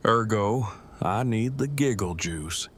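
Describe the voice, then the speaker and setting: A man's voice: lowish voice, lively intonation, takes it slowly, slight background noise. An actor playing an anxious, defensive man who talks in pedantic, stilted, overly formal phrases in an audio drama. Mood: pleading.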